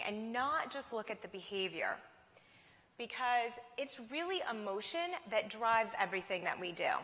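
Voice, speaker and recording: A woman's voice: on the high side, sharp tone. A woman from Vermont giving a conference presentation, telling a story in a conversational, upbeat way. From Vermont, USA. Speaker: conference speaker. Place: conference hall. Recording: long hall reverb.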